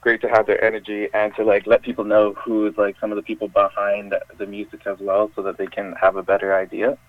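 Man from Jamaica, a musician guest with a low voice. His talk is chatty and full of restarts, heard over a dry phone line. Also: monotone, fairly quick, no echo. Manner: loose and chatty, lots of "like" and restarts. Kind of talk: casual interview chat.

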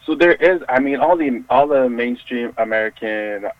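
A man's voice: medium pitch, normal speed. A man from Jamaica, a musician guest, chatting loosely in an interview and filling with lots of "like" and restarts. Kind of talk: casual interview chat. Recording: tinny dry phone line.

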